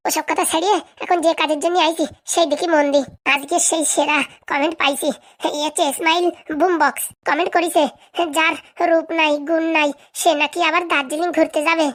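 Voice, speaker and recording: A woman's voice: extremely high pitch, steady tone, fairly quick. A woman voicing a skinny, cheeky comic cartoon host who chats to viewers in a chummy, teasing, mock-complaining way. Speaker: host answering viewer comments.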